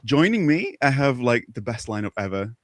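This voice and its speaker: A man's voice: medium pitch, big pitch swings, normal speed, dry recording. A man hosting a casual livestream chat in a playful, jokey, enthusiastic way. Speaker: host.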